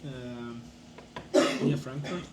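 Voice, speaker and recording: A traveler from Germany chats in a hostel common area, halting with fillers and breaking into laughter. The voice sits at a middle pitch, with clear background noise around it. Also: little pitch movement. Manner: hesitant, laughs often, many fillers. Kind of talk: casual conversation.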